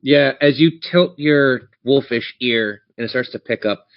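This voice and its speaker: A man's voice: medium pitch, a little slow, muffled recording. A man narrating a tabletop fantasy game as game master, theatrical yet conversational.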